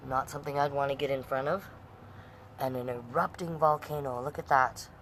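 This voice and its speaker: A middle-aged woman with a very low voice hosts storytime for children. She explains pictures and prompts young listeners between bits of reading. There is clear background noise on the recording. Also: fairly even intonation, moderate pace. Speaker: online storytime host and reader. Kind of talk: children's storytime, reading aloud with chatty asides.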